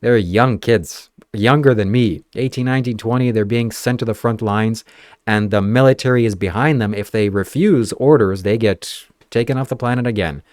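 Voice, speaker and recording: A man's voice: fairly low pitch, moderate pace, darkish tone, dry recording, studio quality. A young man from Ukraine chatting casually on a podcast, given to sarcastic jokes and asides. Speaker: podcast host.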